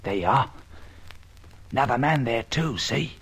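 An actor playing a humble, helpful working-class sidekick in an old radio serial, colloquial and deferential. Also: male voice, medium pitch, expressive, a little slow, fairly bright tone, a little hiss or hum. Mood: eager, hushed.

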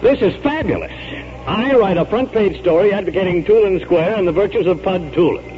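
An actor playing a gruff, impatient, sarcastic newspaper editor in 1940s radio style. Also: male voice, high voice, animated, brisk. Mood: exasperated. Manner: brusque, sarcastic. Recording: dull, hissy 1940s radio recording.

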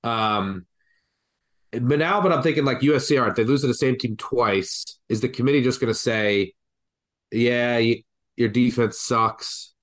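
A man's voice: mid-range voice, unhurried, a bit bright. A man with a congested, stuffed-up voice from the flu, rambling through college football betting picks as a podcast co-host. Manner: rambling, thinks aloud with restarts. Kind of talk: casual betting analysis chat.

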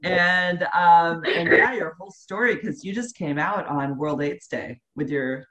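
A woman's voice: on the low side, a little slow, fairly bright tone, a little hiss or hum. An American woman hosting a casual interview, asking chatty questions with fillers. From United States. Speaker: host.

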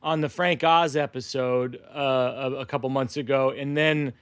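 A man hosting a casual podcast chat with another comedian, telling jokes in a dry, deliberate way. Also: medium pitch, fairly even intonation, fairly bright tone, clear, resonant tone, dry recording.

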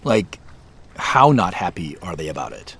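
Weary wry business boss, talks slow and halting but with expressive swings, audio drama. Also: male voice, creaky, breathy edge, exaggerated voice. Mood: taken aback. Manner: low-key, halting, wry. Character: thoughtful, hesitant business leader, wry and weary. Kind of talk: naturalistic audio drama dialogue.

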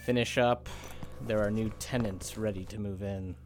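A man's voice: lowish voice, normal speed, background noise, lightly acted. A man playing a cold, clipped government agent. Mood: dictating. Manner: flat, clipped official dictation. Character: cold, bureaucratic male investigator agent. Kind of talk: audio drama acting.

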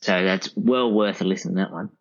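A man's voice: low voice, steady tone, normal speed, muffled recording. A man speaking as a guest in a casual interview, hesitant and mild, over call audio. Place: remote call.

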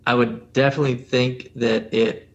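A man who works as a coach, guest on a podcast, asking long, thoughtful questions at an unhurried pace. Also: mid-range voice, flat delivery, vocal fry, highs cut off. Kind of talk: casual podcast conversation.

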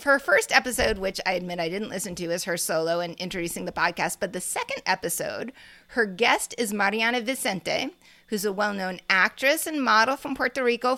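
A woman's voice: medium pitch, bright, forceful tone. A middle-aged woman co-hosting a podcast, chatting in a meandering way and laughing often. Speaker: podcast co-host. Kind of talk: casual podcast chat.

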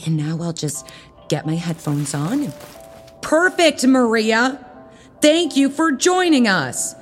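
Bossy, swooping-voiced church lady in reverberant hall. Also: a little high. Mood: brisk, chirpy. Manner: saccharine yet stern, passive-aggressive, dramatic pauses. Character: bossy, prim, judgmental church youth leader woman. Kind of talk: comedic audio drama acting.

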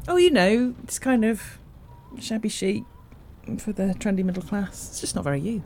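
An actress playing a blunt, sardonic, jumpy houseguest in an audio drama, with a dry, offhand manner. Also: female voice, mid-range voice, moderate pace, a little mellow, slight background noise, close to an everyday voice. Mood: dry, awkward. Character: blunt, sardonic, jumpy woman visiting an old friend. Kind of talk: naturalistic audio drama acting.

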